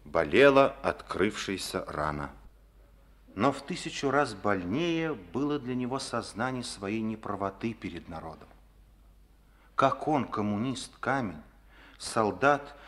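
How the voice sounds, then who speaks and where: A man narrating a Soviet radio drama in a solemn, declamatory manner. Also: mid-range voice.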